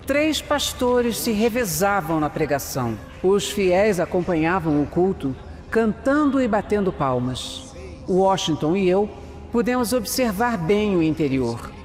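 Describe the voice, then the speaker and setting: A man's voice: high voice, darkish tone. A man with a Brazilian accent playing a methodical detective who narrates an audio drama. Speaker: narrator and protagonist. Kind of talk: scripted first-person narration in an audio drama. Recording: long reverb, music underneath.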